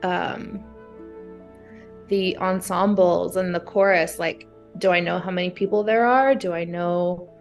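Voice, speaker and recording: Animated woman brainstorming over music. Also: on the low side, a little slow. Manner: animated, acts out imagined thoughts and exclamations. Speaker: guest being coached through writer's block. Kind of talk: casual brainstorming chat.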